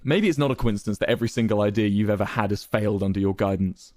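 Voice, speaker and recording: A man's voice: fairly low pitch, very fast, a little mellow, no room sound, studio quality, natural voice. A man voicing a proud but rattled male leader in a dramatized story, stammering and repeating words, easily enraged. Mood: mocking, scornful.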